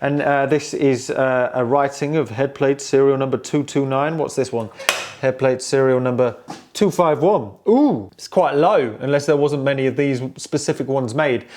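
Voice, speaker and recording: A man's voice: mid-range voice, moderate pace, darkish tone, a little hiss or hum. A man giving a casual, unscripted walkthrough of old equipment, thinking aloud hesitantly with playful, informal slang. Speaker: presenter showing and restoring old technology.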